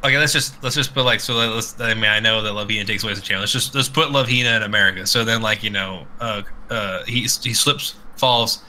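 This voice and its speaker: A man's voice: lowish voice, a little fast, hardly any pauses, pushed and bright, slight background noise, rough recording. A man co-hosting a casual live podcast, rambling and jokey in a mock-earnest way, swearing freely. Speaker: podcast co-host.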